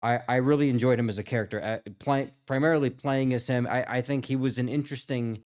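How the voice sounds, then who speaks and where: A man's voice: medium pitch, steady tone, fast talker, a little mellow. A man giving casual reaction commentary as a video host, rambling and thinking aloud as he goes.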